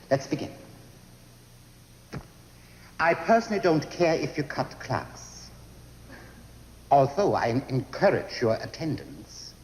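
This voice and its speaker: An actor playing a curt, pompous college professor opening a lecture. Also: male voice, fairly high pitch, a little hiss or hum. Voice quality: curt, sarcastic. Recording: echoey lecture hall.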